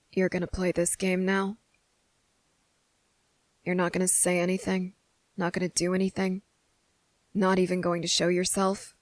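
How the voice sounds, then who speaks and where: A woman voicing a guarded, fed-up young boy in a hushed, halting ASMR roleplay monologue. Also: lowish voice, creaky, dry recording. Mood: fed up, challenging. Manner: hushed, halting, pauses mid-thought.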